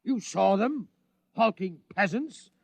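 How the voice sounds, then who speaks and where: A man's voice: high voice, animated, slow, creaky, husky, no room sound, full character voice. A man playing a pompous, irritable military inspector in a 1950s-style radio drama, barking and blustering. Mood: exasperated, indignant. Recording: dull, band-limited old radio recording.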